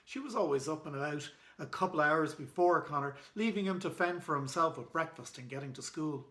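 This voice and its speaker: A man's voice: fairly high pitch, fairly quick, sharp tone. An Irish man reading aloud in a children's storytime style, as an author and illustrator. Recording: echoey room.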